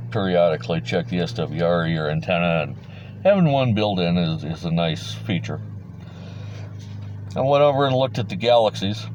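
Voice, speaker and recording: A man's voice: deep voice, darkish tone, a little hiss or hum. A middle-aged man giving an informal product rundown as a hobbyist, in a plain-spoken, folksy, hesitant manner. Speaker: radio hobbyist host.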